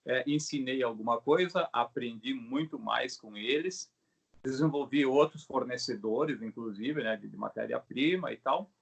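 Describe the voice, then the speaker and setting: A middle-aged man with a Brazilian Portuguese accent explains his craft in a casual, halting way, often checking in with short tag questions. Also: medium pitch, vocal fry, husky, highs cut off. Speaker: craftsman, bow maker being interviewed. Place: video call.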